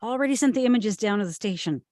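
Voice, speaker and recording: A woman playing a brisk, dutiful police detective in a drama script read. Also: medium pitch, animated, a little mellow, no echo, clean studio sound, close to an everyday voice. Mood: businesslike.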